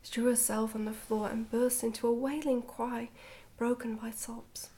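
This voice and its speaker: A woman reading a children's storybook in a hushed, breathy whisper, gently and carefully, in ASMR style. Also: fairly high pitch, flat delivery, normal speed. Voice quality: whispery, breathy, soft-spoken. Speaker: ASMR book reader.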